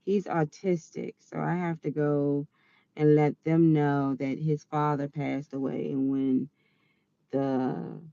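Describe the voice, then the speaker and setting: A woman around forty telling a personal story in a chatty, animated way, quick to laugh. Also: low-pitched, no room sound, lo-fi sound. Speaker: vlogger.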